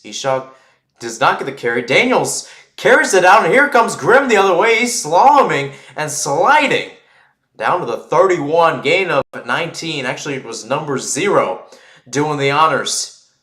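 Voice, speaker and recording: A man's voice: mid-range voice, a bit bright, roomy sound. A man calling live American football play-by-play for radio, chatting through asides and swelling on big plays.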